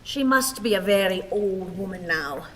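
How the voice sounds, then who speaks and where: A woman playing a frail, emotional old Irish wife in a radio play, speaking in a plaintive, halting way. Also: medium pitch, expressive, a bit bright, close to an everyday voice. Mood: weary, tender. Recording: reverberant hall, hissy rain-like background noise.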